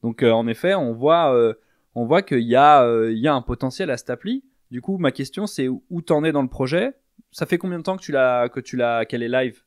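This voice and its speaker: A man hosts the interview and asks his questions hesitantly, full of fillers. His voice sits at a middle pitch. The sound is close and dry, with no room around it. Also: animated, brisk, dry recording. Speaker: podcast host.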